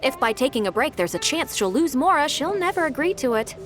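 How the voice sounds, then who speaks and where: A woman playing a diligent, eager young secretary in a video game, brisk and polite as she methodically explains things. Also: high-pitched, very animated, faint music behind. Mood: thoughtful, friendly.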